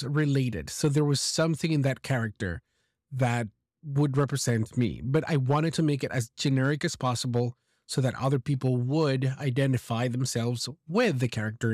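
Slow, clear-speaking man hosting a podcast. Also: mid-range voice, darkish tone, no room sound, clean studio sound. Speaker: podcast host. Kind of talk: solo podcast talk to English learners.

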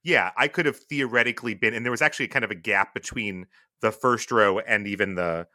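A man hosting a podcast with a loud voice, chiming in eagerly during a casual conversation. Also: lowish voice, fairly quick, dry recording, clean studio sound. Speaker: podcast host.

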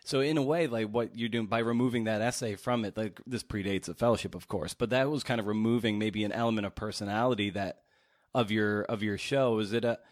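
Man, somewhat low pitch, casual interview host with halting, meandering delivery; close, dry sound. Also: dry recording. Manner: halting, circles around his questions. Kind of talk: casual interview, long questions.

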